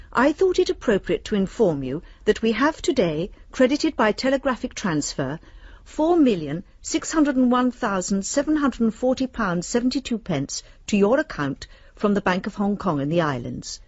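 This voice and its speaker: An actress playing a courteous, officious British bank lending advisor reading formal letters in a radio drama. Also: female voice, fairly high pitch, big pitch swings, moderate pace, a little mellow, slightly rough, no room sound, muffled recording. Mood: formally courteous.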